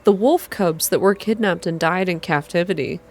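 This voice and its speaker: A woman's voice: on the low side, moderate pace, close to an everyday voice. A woman voicing a curious, unsettled young female heroine of a visual novel, hesitant and questioning. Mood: troubled.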